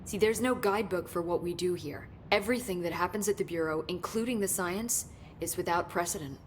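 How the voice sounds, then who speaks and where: Woman acting low-voiced, eager young American scientist. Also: a little fast, slight background noise, natural voice. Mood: enthusiastic explaining. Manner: chatty, explanatory, excitable. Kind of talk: video game dialogue acting.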